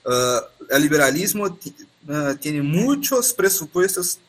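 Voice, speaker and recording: A Brazilian man with a Portuguese accent works his way through Spanish as a podcast guest. He talks haltingly, with false starts and drawn-out "ah" fillers. His voice comes over a video call. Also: fairly high pitch, very animated, a bit bright. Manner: halting, many restarts and "ah" fillers. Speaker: guest expert. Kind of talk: podcast interview.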